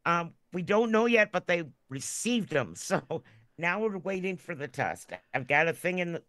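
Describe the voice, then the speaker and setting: Woman, podcast co-host, sharp bright tone, close dry sound, chatty, animated jokey storytelling. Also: fairly low pitch, moderate pace, no echo. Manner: animated, jokey, acts out little quotes. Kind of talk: casual chatty anecdotes.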